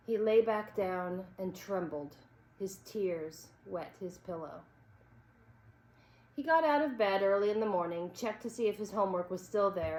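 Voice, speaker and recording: A woman reading a children's story aloud as an expressive narrator. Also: mid-range voice. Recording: echoey room with background noise.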